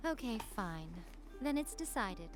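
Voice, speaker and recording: A woman's voice: a little high, big pitch swings, measured pace, soft background music. A woman voicing a sly, haughty shrine maiden in an anime game, with a coy, teasing, imperious delivery. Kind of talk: English anime game voice acting.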